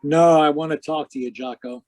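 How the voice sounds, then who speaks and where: A man playing a hard-boiled, wisecracking private investigator in a 1940s-style radio noir drama, deadpan, clipped and sardonic. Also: fairly high pitch, moderate pace, no echo, close to an everyday voice. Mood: urgent, impatient. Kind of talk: 1940s radio noir acting with first-person narration. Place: live remote video-call performance.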